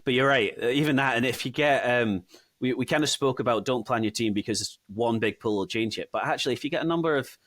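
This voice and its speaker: A man's voice: mid-range voice, quick pace, fairly bright tone, no room sound. A man with a half English, half Scottish accent, chatting casually and conversationally as host of a gaming podcast.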